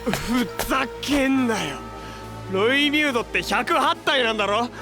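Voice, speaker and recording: Cocky young superhero, really high animated voice, slangy and hot-blooded, music behind. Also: male voice, unhurried, full character voice. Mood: incredulous. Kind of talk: Japanese superhero audio drama acting. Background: prominent music.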